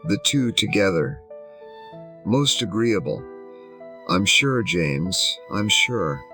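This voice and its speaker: A man voicing a smug, patronising English gentleman of sixty-one in an audio drama, pompous and effusive in his phrasing. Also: on the low side, big pitch swings, slow pace, faint music behind, pitched above the performer's own voice, heavily acted. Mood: smoothly patronising. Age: older. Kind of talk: audio drama character dialogue.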